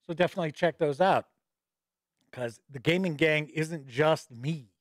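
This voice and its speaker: Middle-aged guy hosting a live news stream, a bit stuffy from a cold, highish voice, close boom mic, dry sound. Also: normal speed, no echo. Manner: chatty and upbeat, reading news with asides. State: a little stuffy with a starting cold. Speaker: host and editor-in-chief of a tabletop gaming news show. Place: home studio with shelves of games behind. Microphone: studio mic on boom arm close to mouth.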